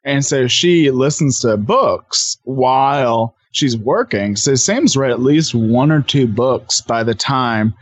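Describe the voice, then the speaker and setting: A man's voice: mid-range voice, slow pace, few pauses, no echo. A man talking as a podcast guest, eagerly explaining things in casual conversation. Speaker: podcast guest, co-host of own show. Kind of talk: casual interview chat.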